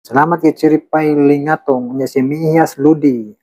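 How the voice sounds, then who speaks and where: A man's voice: on the high side, fairly even intonation, moderate pace, dark tone. A man hosting informally, reading out comments in a casual chat full of tag questions. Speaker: channel host.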